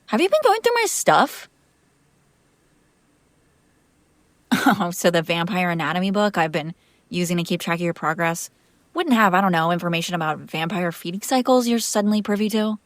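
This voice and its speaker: A woman's voice: lowish voice, animated, fairly quick, no room sound, natural voice. Dry, close-sounding woman acting as a vampire master. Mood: flustered, defensive. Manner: conversational, defensive, sardonic. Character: female vampire master, exasperated yet protective caretaker. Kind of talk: intimate audio roleplay acting, one side of a conversation.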